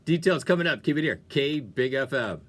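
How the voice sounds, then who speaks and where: A man presenting on music radio as a DJ, with an animated, folksy, playful delivery and chatty talk breaks. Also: mid-range voice, a little fast. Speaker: radio DJ and show host.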